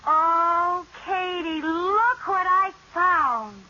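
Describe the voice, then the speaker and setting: A woman playing an excitable, scheming housewife in an old radio sitcom, theatrical and breezy. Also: very high voice, very slow, exaggerated voice. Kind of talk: 1940s radio sitcom acting. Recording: muffled, hissy old radio recording.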